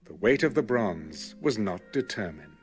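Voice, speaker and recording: A man reading scripture as scripted audiobook narration, measured and clearly enunciated. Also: fairly low pitch, sing-song, soft background music. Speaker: Bible narrator.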